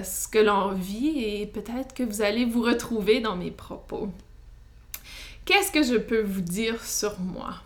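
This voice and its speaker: A woman with an Acadian French accent, hosting her own podcast as a psychotherapist, speaking in a relaxed monologue with frequent hesitations and light laughs. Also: a little high. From New Brunswick, Canada. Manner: hesitant, many "euh" fillers, light laughs.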